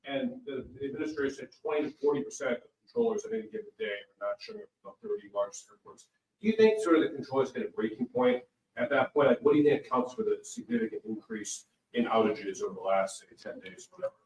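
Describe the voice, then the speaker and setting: A man's voice: medium pitch, wildly swooping pitch, fast talker, vocal fry, a little mellow, distant mic. A male reporter asking a question at a press conference from away from the microphone in an echoey room.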